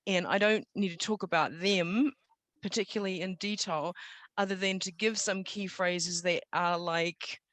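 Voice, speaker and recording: A middle-aged woman with a New Zealand accent, sharing personal motivational stories in an earnest, reflective and deliberate way on an online call. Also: on the low side, fairly even intonation, a bit bright, no echo. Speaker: guest storyteller. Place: online video gathering. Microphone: video call.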